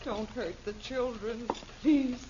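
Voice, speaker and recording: A woman playing a frightened frontier mother in a radio western, pleading and halting. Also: fairly high pitch, background noise. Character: terrified frontier mother pleading for her children. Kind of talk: 1950s radio western acting. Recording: muffled 1950s radio recording.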